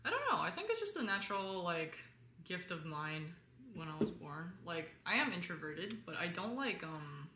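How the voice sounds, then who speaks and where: Bright-voiced young woman in a roomy space. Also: sing-song, moderate pace. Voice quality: lower-toned voice. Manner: candid, rambling, frequent "like". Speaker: live stream host. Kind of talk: casual live stream chat with viewers. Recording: roomy echo, faint background noise.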